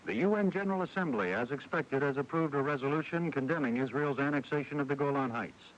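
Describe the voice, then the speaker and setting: American male radio anchor, mid-pitched, scripted bulletin delivery, muffled early-eighties broadcast with faint hiss. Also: expressive, fast talker. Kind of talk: scripted network news bulletin. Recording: dull, hissy 1980s radio broadcast recording.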